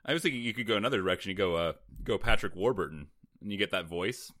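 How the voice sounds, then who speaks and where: A man with a low voice co-hosts a casual podcast chat. He states silly made-up facts with a straight face, keeping a dry, mock-serious tone. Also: fast talker, fairly bright tone. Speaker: podcast co-host.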